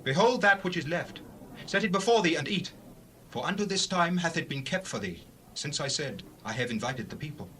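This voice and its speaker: A man playing an aged, authoritative Hebrew prophet in a dramatized scripture reading, speaking in solemn, deliberate pronouncements. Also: fairly high pitch, lively intonation, a bit bright, slight background noise. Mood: commanding.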